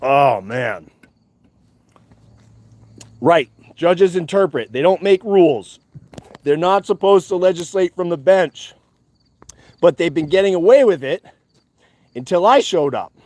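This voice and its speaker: A man giving a defiant, profane monologue to his viewers, loud and emphatic, repeating his points. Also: high-pitched. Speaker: activist channel host.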